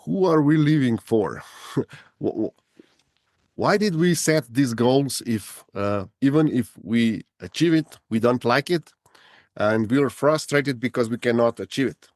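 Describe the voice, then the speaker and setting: A man's voice: medium pitch, animated, a little slow, a little mellow, no echo, clean studio sound. A man hosting a podcast, asking questions in a hesitant way with many fillers and repeated words. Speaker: podcast host. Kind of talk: podcast interview.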